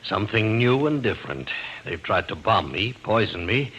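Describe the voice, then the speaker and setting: An actor playing a wealthy, grimly composed client under threat in an old-time radio mystery. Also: male voice, on the low side, big pitch swings, normal speed, fairly bright tone, lo-fi sound. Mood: grim, urgent. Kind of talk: 1940s radio drama acting. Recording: noisy old radio recording.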